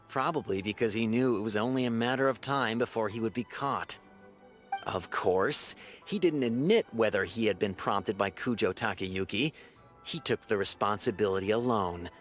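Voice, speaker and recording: A man's voice: medium pitch, a bit bright, close to an everyday voice. Man playing an opinionated, cynical police officer. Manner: insistent, speculative. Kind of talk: video game dialogue acting.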